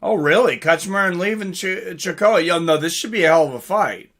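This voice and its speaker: A man hosting a live fight watch-along stream, commenting casually and talking directly to viewers in chat, often clearing his throat. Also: sits high, brisk, fairly bright tone.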